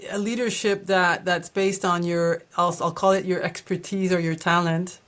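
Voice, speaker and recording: Woman interviewer, lower voice, attentive and measured. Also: steady tone. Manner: attentive, measured, frequent brief backchannels. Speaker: podcast host. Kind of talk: interviewing, reflective summaries.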